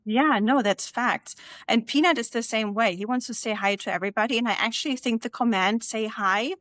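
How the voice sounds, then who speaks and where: A woman's voice: on the high side, brisk, no echo. German-accented woman hosting a chat. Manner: chatty, warm praise, laughs often. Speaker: podcast host. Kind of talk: casual interview chat.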